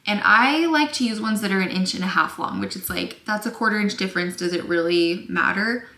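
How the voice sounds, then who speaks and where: A young woman teaching sewing to camera in a chatty, casual way, a little scratchy-voiced and quick to apologize. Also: medium pitch, bright, forceful tone. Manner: chatty, apologetic, lots of fillers. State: slightly scratchy voice. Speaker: sewing instructor. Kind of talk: casual tutorial talk to camera. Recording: echoey room.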